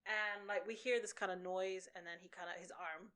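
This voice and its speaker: A woman's voice: mid-range voice, steady tone, normal speed, fairly bright tone. A woman co-hosting a podcast, recapping a TV show in casual conversation. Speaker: podcast co-host.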